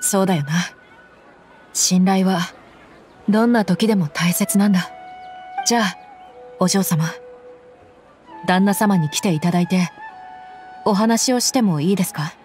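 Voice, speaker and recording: Actress doing tough young merc bodyguard lady, anime game, frank n loyal, medium pitch, bit of music. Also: unhurried, long pauses, darkish tone, close to an everyday voice. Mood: grateful. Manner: rough, frank speech turning polite. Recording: background music behind voice.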